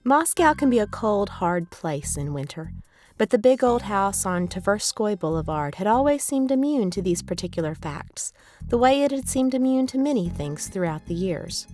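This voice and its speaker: A woman author reading her novel aloud as scripted audiobook narration, in a measured, deliberate storytelling delivery. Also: mid-range voice, darkish tone.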